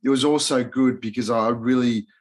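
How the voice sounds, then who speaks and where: A man's voice: mid-range voice, steady tone, moderate pace. A man in his thirties, born in Hungary and raised in Australia, with an Australian accent, talking as an interview guest in a laid-back, slangy way full of fillers and restarts. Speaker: guest, yoga and mindfulness coach. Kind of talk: casual podcast interview.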